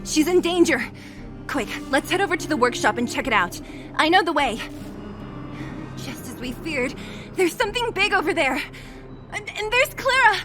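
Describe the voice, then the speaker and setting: A determined young female fighter from a video game, blunt and protective. Her high voice is direct and emphatic, with music clearly behind it. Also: sing-song, normal speed, pauses often. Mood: urgent, resolute.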